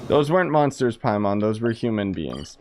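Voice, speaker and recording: A man's voice: fairly low pitch, very animated, normal speed, a little mellow, slight background noise. A young man giving casual, joking, sarcastic commentary while playing a video game. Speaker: gaming commentator. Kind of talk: casual let's-play commentary.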